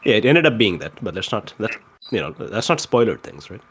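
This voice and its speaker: A man casually recapping a tabletop roleplay campaign as one of its players, in a halting way that circles back to rephrase. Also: fairly low pitch, big pitch swings, fairly bright tone, breathy edge.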